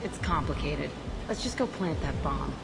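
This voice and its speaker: A tough, foul-mouthed ex-convict. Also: female voice, deep voice, lively intonation, normal speed, creaky, heavy background noise. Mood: bitter. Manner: blunt, profane, bitter. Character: tough, profane young woman, a former test subject. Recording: reverberant with loud game music.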